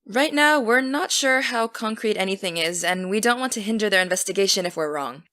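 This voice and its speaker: An actress playing an earnest teenage girl who hosts a podcast, keeping things steady and businesslike. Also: mid-range voice, fairly quick. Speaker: podcast host. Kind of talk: scripted audio drama.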